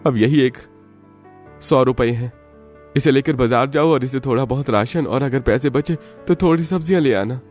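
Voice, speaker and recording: A man's voice: mid-range voice, normal speed, a little mellow, soft background music, lightly acted. A man playing a poor, earnest young labourer in a cartoon story, worried and pleading. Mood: frustrated.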